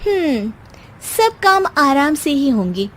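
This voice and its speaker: A woman's voice: sits high, very animated, slow, voice pushed up, full character voice. A woman storyteller voicing an overworked, aggrieved wife who answers back with exasperation in a domestic story. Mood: sarcastic. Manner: sarcastic, aggrieved retorts.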